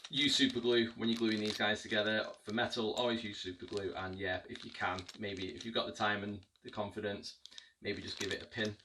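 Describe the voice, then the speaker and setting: A man's voice: lowish voice, pushed and bright, far from the mic, slight background noise, poor audio. A man giving a casual unboxing review of tabletop miniatures, chatty and hesitant, with many ums and restarts.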